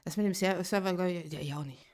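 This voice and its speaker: A woman's voice: on the low side, fast, a little mellow. A woman in her late thirties chatting casually on a live game stream, thinking aloud and answering viewers' chat. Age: 30s.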